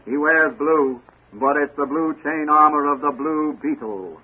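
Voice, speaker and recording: A man's voice: fairly high pitch, measured pace, over loud music, close to an everyday voice. A man playing the dramatic, suspenseful narrator of a 1940s radio crime serial, with an American accent. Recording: scratchy, narrow-band 1940 radio recording.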